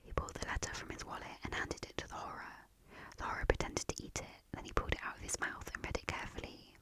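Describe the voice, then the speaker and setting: A woman reading a storybook aloud in a soft, hushed storytelling manner. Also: fast, in a whisper. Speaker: narrator.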